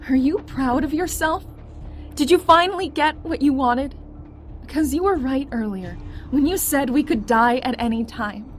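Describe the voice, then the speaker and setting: A woman's voice: high voice, normal speed, slight background noise, lightly acted. A woman acting an upset, reproachful young woman in an emotional audio drama, urgent, with music behind her. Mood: angry reproach. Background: faint background music.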